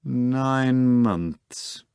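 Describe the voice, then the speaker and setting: A man's voice: medium pitch, drawn-out words, a little mellow, clear, resonant tone, no room sound, clean studio sound, voice pushed up. A man voicing a suave, condescending male magician in an audiobook reading. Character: suave, condescending foreign magician, an older devil.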